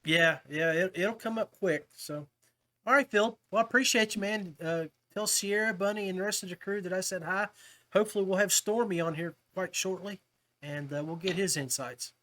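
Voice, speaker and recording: A man's voice: high-pitched, normal speed, bright, forceful tone. An American man hosting a casual fishing podcast, chatting with guests in a friendly, chummy and appreciative way. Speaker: podcast host.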